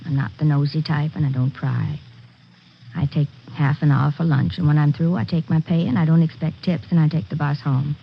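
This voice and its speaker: An actress playing a defensive, gossipy day maid in an old radio drama, emphatic and repetitive. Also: female voice, sits low, fairly even intonation, a little fast, vocal fry, slightly rough, exaggerated voice. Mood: defensive, indignant. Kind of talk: 1940s-50s radio drama character acting. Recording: dull vintage radio recording.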